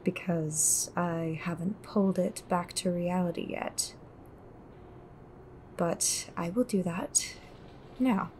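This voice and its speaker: A woman playing an eerie, coolly commanding fortune teller in an audio roleplay, speaking in a measured, deliberate way. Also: on the low side, darkish tone, slight background noise, rough recording.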